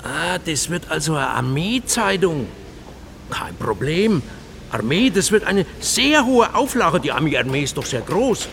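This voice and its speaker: An actor playing an eager, obliging German printer in a radio play, chattering and repeating himself in a servile manner. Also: male voice, a little high, very animated, unhurried, vocal fry, fairly bright tone, slight background noise, heavily acted. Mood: eager, delighted.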